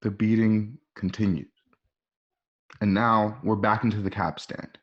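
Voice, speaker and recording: A man with a fairly low voice narrates a radio play. He reads out scripted stage directions, setting each scene in the present tense. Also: little pitch movement, unhurried, lo-fi sound.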